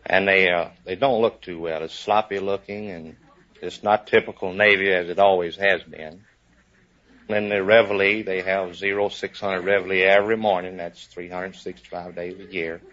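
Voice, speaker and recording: Male sailor contestant, fairly deep voice, folksy and casual, muffled vintage radio sound. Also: steady tone, normal speed, fairly bright tone. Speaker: navy sailor, show contestant. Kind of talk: casual interview answers. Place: studio in front of audience. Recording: noisy muffled 1950s radio broadcast recording.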